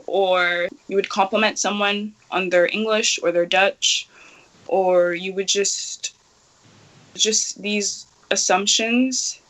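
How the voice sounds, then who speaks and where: A young woman from Nigeria answering interview questions in a bright, clear tone. She speaks earnestly and thoughtfully, with plenty of pauses and restarts as she works out her answers. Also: mid-range voice, fairly even intonation, poor audio. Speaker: activist guest.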